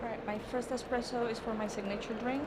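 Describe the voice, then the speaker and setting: A woman's voice: fairly high pitch, little pitch movement. A woman with a Czech accent, a native Czech speaker, giving a careful, rehearsed barista competition presentation on stage. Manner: measured, careful, formal. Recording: noisy event venue ambience.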